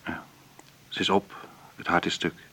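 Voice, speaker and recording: An actor playing a grave, kindly family doctor in a radio drama, speaking in a measured way, blunt yet gentle. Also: male voice, in a whisper, close to an everyday voice. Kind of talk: naturalistic radio drama acting.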